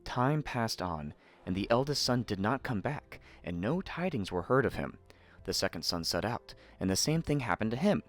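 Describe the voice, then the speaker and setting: A man narrating a scripted fairy tale in a theatrical, expressive manner. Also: fairly low pitch, moderate pace. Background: faint music.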